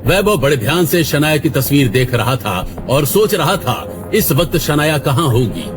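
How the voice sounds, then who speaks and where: A man's voice: fairly high pitch, big pitch swings, moderate pace, over music. A man narrating a dramatic scripted audio story.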